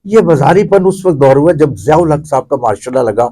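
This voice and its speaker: A man's voice: fairly high pitch, animated, warm tone. A middle-aged or older man with a Pakistani accent, speaking as an interview guest in a discursive, explanatory way. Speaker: interview guest, theatre commentator. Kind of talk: reflective explanatory interview talk.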